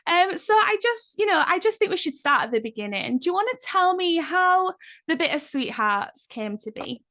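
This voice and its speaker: Woman host, high voice, effusive, rambling questions, video call. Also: big pitch swings, normal speed, fairly bright tone. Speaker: interview host. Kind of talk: casual interview.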